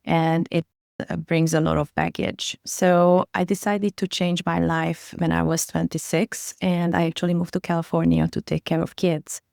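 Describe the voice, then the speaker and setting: A woman's voice: on the low side, flat delivery, moderate pace, creaky, a little mellow, no echo, clean studio sound. A woman from Hungary with a Hungarian accent, a native Hungarian speaker, telling her story earnestly as an interview guest. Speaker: guest, parenting coach.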